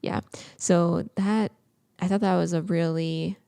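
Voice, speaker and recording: A young woman co-hosts a podcast in a loose, chatty way and fills her talk with small hesitations. Her voice sits low and mellow and has a gravelly fry to it. The recording is dry and close. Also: fairly even intonation, takes it slowly, no room sound. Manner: chatty, lots of filler words. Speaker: podcast co-host. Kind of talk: casual chat.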